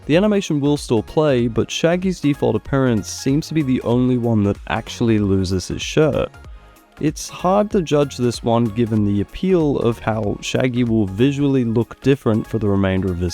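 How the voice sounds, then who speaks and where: A man narrating scripted gaming ranking commentary in a measured, opinionated, critical way. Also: mid-range voice, mellow. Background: background music.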